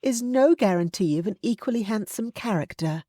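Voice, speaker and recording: A thoughtful young woman, as a woman narrator puts her on, speaks in measured, reflective phrases. The pitch moves with lively range. The recording is close and dry. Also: no echo, close to an everyday voice.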